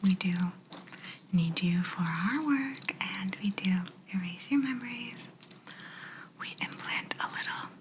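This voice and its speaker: A woman voicing a chatty, smug alien examiner in an ASMR roleplay, in a soft breathy whisper, unhurried and a little condescending. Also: mid-range voice, lively intonation, background noise, natural voice. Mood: reassuring, explanatory. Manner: unhurried, fussy, mildly condescending asides. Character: smug, chatty female alien examiner, clinical yet reassuring. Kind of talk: ASMR personal-attention sci-fi roleplay.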